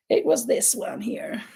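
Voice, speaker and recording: A woman with a non-native English accent, hosting a casual crochet live stream and chatting with viewers. She talks loosely, laughs often and stops to search for words. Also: mellow.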